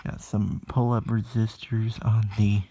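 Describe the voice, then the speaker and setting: A man giving an unscripted hands-on technical demonstration, explaining matter-of-factly in halting short phrases, recorded on a phone. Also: fairly low pitch, little pitch movement, dark tone. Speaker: hobbyist presenter of own electronics demo. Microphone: phone recording video.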